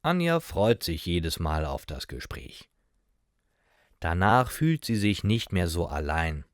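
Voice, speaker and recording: A man's voice: sits low, very animated, slow pace, dry recording, clean studio sound. A man reading a simple scripted story as a narrator for beginner language learners, in short, careful sentences. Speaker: narrator of German learning stories.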